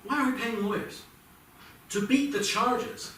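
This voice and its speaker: A man's voice: very high-pitched, a little slow, bright, forceful tone, heavily acted. An actor playing a defensive, argumentative middle-aged father in a live staged play reading. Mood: combative. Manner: insistent, argumentative, stumbling over restarts. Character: defensive, combative father shielding his teenage son. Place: indoor theatre stage, recorded from a distance. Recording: echoey, distant theatre recording with faint noise.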